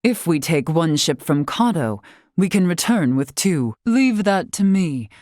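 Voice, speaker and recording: धीमे सुर वाली गंभीर महिला मुखिया. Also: female voice, on the low side, sing-song, a little mellow, no room sound, studio quality. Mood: grave, resolute. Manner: formal, archaic, measured phrasing. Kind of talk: video game character acting.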